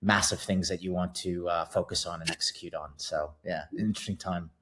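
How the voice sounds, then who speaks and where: A man's voice: low voice, fairly even intonation, fairly quick, fairly bright tone, slight background noise. A middle-aged man with a Canadian accent, a finance executive speaking casually as a podcast guest, with many fillers and a self-deprecating tone. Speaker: guest CFO. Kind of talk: podcast interview.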